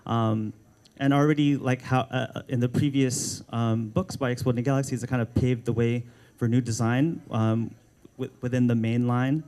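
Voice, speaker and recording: A man's voice: mid-range voice, steady tone, normal speed, a little mellow. A Filipino American man, an art director, speaking at a book launch with frequent ums and restarts. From United States. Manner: hesitant, many ums and restarts.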